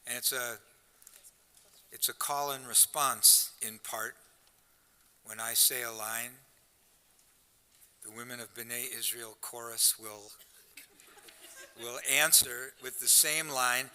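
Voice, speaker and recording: A man leading a congregational song, speaking in a relaxed, slightly hesitant way and repeating words, in a synagogue sanctuary. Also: mid-range voice, slow, pauses often, clear, resonant tone. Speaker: song leader. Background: faint guitar.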